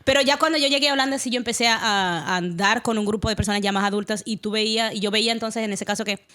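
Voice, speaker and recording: A woman's voice: a little high, quick pace, fairly bright tone. Dominican woman chatting casually. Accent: Dominican Spanish. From Dominican Republic. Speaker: podcast panelist. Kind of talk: casual group chat.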